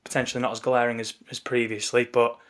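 A man with a croaky voice co-hosting a casual football podcast over a remote call, chatty and wry. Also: medium pitch, level tone, fast talker, a bit bright. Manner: chatty, wry, leads the talk. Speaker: podcast co-host. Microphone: remote call recording.

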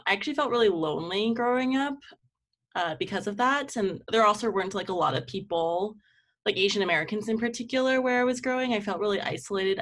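Young woman guest, normal pitch, thoughtful casual chat, says like a lot. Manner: informal, reflective, peppers speech with "like". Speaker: guest, community organizer and campaign manager. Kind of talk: casual conversational interview.

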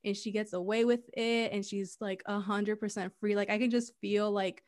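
A woman co-hosting a podcast in casual chat, animated, laughing often and slipping into Spanish phrases. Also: medium pitch, normal speed. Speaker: podcast co-host.

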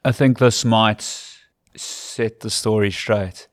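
A South African man in his thirties speaks with a Cape Town accent in a fairly low voice. He talks earnestly and conversationally, like a commentator recounting his career in an interview. The sound is dry, with little room echo. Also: steady tone, slow pace. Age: 30s. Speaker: rugby commentator, podcast guest.

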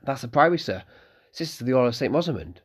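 A man's voice: mid-range voice, animated, fast, husky, pitched above the performer's own voice. A man voicing an eager, polite eleven-year-old innkeeper's boy who keeps calling the guests sir.

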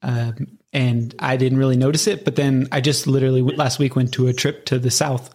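A man's voice: mid-range voice, level tone, brisk, darkish tone, husky. A man with an American accent, interviewing casually in an easygoing, informal way with loose, hesitant phrasing. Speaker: podcast coach, interviewer.

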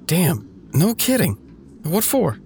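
A man's voice: high-pitched, very animated, takes it slowly, a little mellow, slight background noise, heavily acted. An actor playing a curious local man in casual, blunt, naturalistic audio drama dialogue. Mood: curious, concerned.

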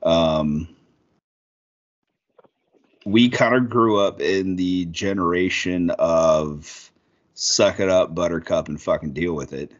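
Thirties man, low voice, loose profane podcast co-host, muffled lo-fi audio. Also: slow, long pauses. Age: 30s. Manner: rambling, profane, lots of fillers. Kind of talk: casual podcast chat.

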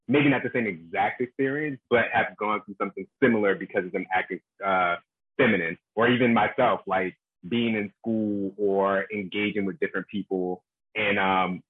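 A man talks in a measured, reflective way, pausing on fillers as he works through his point. His voice sits low and husky, and it comes through a narrow, phone-like line. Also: no echo. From South Carolina, US. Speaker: panelist. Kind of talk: casual discussion.

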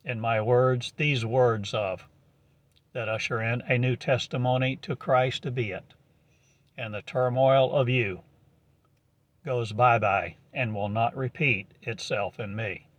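A man giving a prophetic monologue in slow, halting declamation with archaic phrasing, on a dull lo-fi recording. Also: medium pitch, fairly even intonation, dry recording.

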